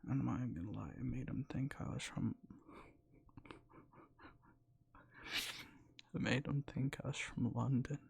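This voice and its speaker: A young man whispering in a soft, rambling way close to the mic, sleepy and sometimes losing his words, for boyfriend roleplay ASMR. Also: medium pitch, takes it slowly, vocal fry, pauses often, breathy edge. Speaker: ASMR creator.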